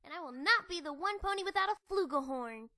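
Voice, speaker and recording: Very high, eager little pony filly. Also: female voice, brisk, heavily acted. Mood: excited. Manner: excitable, earnest. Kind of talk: cartoon audio drama acting.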